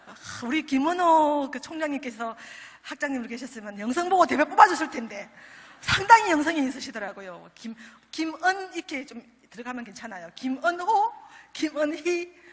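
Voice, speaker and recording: A middle-aged woman with a Gyeongsang accent delivers a chatty, joking sermon from the stage, teasing her listeners between her points. Her voice sits high, and it carries a long echo through a large church sanctuary. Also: expressive, a little fast. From Daegu, South Korea. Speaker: pastor's wife speaking at a prayer meeting. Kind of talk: humorous testimony sermon.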